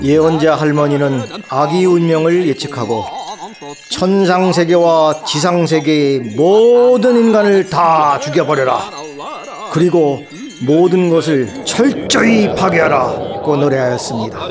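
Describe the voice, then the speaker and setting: A man's voice: on the high side, lively intonation, very fast. A man reading a scripted epic tale as narrator. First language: Korean. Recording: loud chiming music behind.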